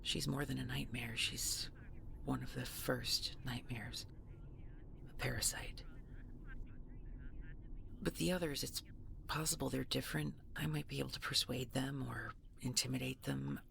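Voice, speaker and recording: A woman playing a protective, devoted supernatural girlfriend in an intimate audio roleplay, breathy and halting, often near a whisper. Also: a bass voice, fairly even intonation, noisy background, exaggerated voice. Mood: grave, hesitant.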